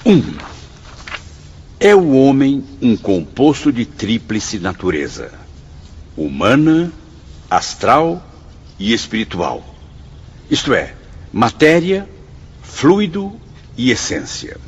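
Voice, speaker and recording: A dignified spirit pupil in a Brazilian radio drama, reciting solemnly with wide swings of pitch. His husky voice is formal and deliberate, carried in long hall reverb. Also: huge pitch swings, muffled recording, heavily acted. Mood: solemn, reverent. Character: Camilo, earnest, dignified male spirit pupil reading a lesson aloud.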